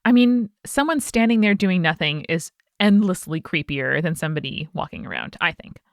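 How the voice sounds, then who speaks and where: A woman's voice: on the low side, sing-song, normal speed, no echo, studio quality. A woman co-hosting a podcast, chatting casually with playful, emphatic reactions. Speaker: podcast co-host. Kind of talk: casual chat, reacting to stories.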